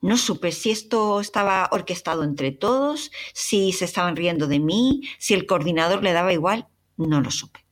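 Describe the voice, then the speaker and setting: A young Chilean woman with a Chilean accent and a breathy voice, dramatically telling a personal travel story as a podcast narrator. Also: lowish voice, moderate pace. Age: young adult. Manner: expressive, dramatic retelling with sighs and exclamations.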